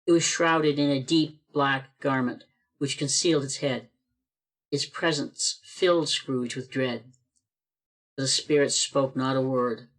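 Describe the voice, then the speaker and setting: A man's voice: mid-range voice, measured pace. Man narrating a radio play. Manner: steady, storytelling. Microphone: home video call mic.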